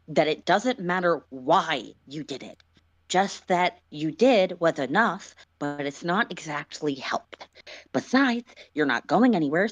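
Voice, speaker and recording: Woman voicing a bitter, low-voiced angry alien man. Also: dry recording. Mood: angry, demanding. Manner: accusing, sharp, demanding. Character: bitter, angry, wounded male alien interrogating his betrayer.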